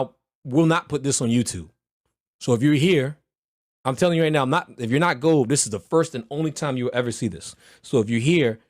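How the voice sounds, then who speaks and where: A man with a hoarse, recovering voice teaching a live trading mentorship session, talking in a loud, blunt, slangy, motivational way. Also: medium pitch, no room sound, studio quality. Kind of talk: livestreamed mentorship talk.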